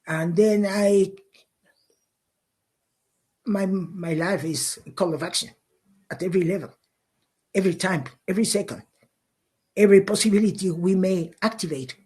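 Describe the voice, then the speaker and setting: A middle-aged woman from Mexico, a conservation leader speaking English, passionate and halting with fillers and repeats. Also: mid-range voice, a little mellow, slightly rough. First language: Spanish. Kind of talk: interview answers.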